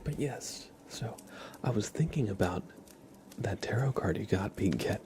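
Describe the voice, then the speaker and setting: A man hosting an ASMR livestream, whispering softly and slowly to his viewers in chat, close to the microphone. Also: fairly low pitch, lively intonation, a little hiss or hum. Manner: soft, slow, unhurried, chatting to viewers. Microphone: close microphone, leaned into.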